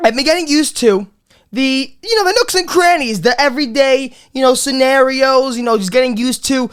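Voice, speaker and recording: Guy hosting a comedy podcast, voice going, smooth clear tone, swears a lot and keeps restarting. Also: very high-pitched, moderate pace, fairly bright tone. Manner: rambling, profane, many restarts and repeats. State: losing his voice, a bit under the weather. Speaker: podcast host. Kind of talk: casual comedy podcast monologue.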